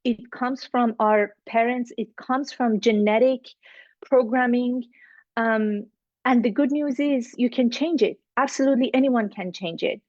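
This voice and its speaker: A woman's voice: on the high side, measured pace, darkish tone, no echo. Middle Eastern-accented woman, second-language English, motivational coach on a video call; dry, dull audio. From Middle East. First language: not English. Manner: earnest, motivational, repeats for emphasis. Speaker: mindset coach. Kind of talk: online networking circle pitch.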